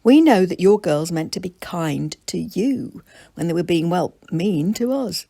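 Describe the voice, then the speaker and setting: A woman reader plays a storybook farm mother, warm-hearted and forgiving. Her voice is husky and a little creaky, recorded dry. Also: on the low side, expressive, measured pace, dry recording, heavily acted. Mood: earnest, forgiving.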